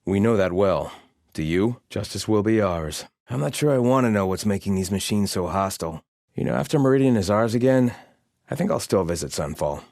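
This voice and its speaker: A proud, devout soldier living in exile in a fantasy game. His voice is on the low side and recorded dry and close. He speaks sternly and formally, with open disdain. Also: lively intonation, a little fast, a little mellow, dry recording. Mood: weary, gloomy. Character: haughty, devout male Carja soldier in bitter exile. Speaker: video game voice actor. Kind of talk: video game character voice lines.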